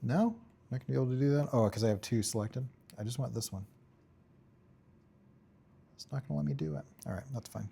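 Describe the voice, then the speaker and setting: A man giving an informal software tutorial, thinking aloud in short, offhand fragments as he works. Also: mid-range voice, quick pace, creaky, pauses often, slightly rough. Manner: offhand, talks in short fragments while working. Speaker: tutorial instructor.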